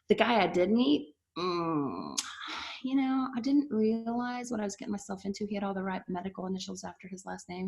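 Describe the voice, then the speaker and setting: Chatty woman pastor in a roomy space. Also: medium pitch. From Canada-born, raised in the US. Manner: chatty, asks the audience to type answers. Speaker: pastor and TV host. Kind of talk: casual talk to an online audience. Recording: roomy echo.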